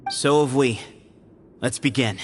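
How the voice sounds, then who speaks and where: A man's voice: mid-range voice, measured pace, slight background noise, lightly acted. A man voicing a proud young duel leader in a game, speaking in a curt, confident way. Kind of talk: game voice acting.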